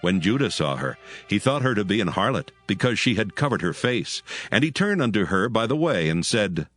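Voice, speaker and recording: A man narrates a dramatized scripture story in a low voice. His delivery is animated and varied in pitch, with soft music behind him. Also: moderate pace.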